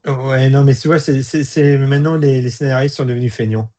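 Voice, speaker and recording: A man's voice: mid-range voice, steady tone, fairly quick, mellow. A man with a European French accent giving blunt opinions as a guest in a casual review chat.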